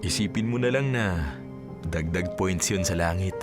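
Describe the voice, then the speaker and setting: A fairly low-voiced young man played by a male actor as a devoted suitor in a radio drama. He talks frankly and familiarly over loud background music. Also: normal speed, lightly acted. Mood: reassuring. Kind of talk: Filipino radio drama acting. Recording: loud music under the dialogue.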